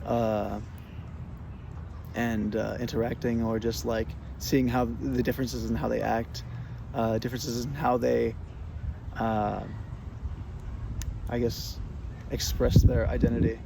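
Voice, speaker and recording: Young man, from Fresno, hesitant, filler-heavy, mid-pitched voice, street traffic noise behind. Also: level tone, slow, creaky. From Fresno, California. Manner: hesitant, many fillers and restarts. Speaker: interviewee. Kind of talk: oral history interview.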